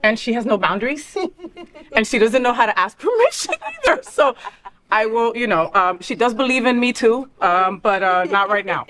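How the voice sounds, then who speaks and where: A woman's voice: a little high, sing-song, moderate pace, fairly bright tone. A middle-aged woman hosting a casual interview, bubbly and teasing, laughing often. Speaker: host.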